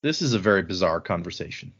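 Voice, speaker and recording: A man's voice: sits low, animated, fast talker, fairly bright tone, highs cut off. A man hosting a podcast, retelling a fantasy novel in casual, colloquial language with wry asides. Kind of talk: casual chat, informal book recap.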